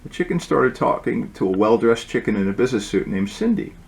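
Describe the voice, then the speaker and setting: A man reading his own storybook aloud as its author, in an informal way with occasional halting asides. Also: mid-range voice, expressive, gravelly, slight background noise.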